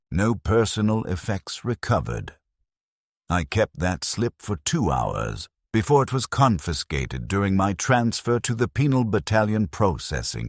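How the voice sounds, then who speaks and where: A man narrating a dramatic story as a grim, embittered convict soldier, solemn and deliberate in his bleak delivery. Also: extremely low pitch, dry recording, studio quality, exaggerated voice.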